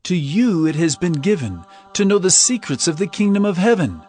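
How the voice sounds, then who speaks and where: A solemn bible teacher telling parables, measured and emphatic, highish voice, lots of melody in it. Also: male voice, very animated, a little mellow, slightly rough, heavily acted. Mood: solemn teaching. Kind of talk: dramatized scripture reading. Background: background music.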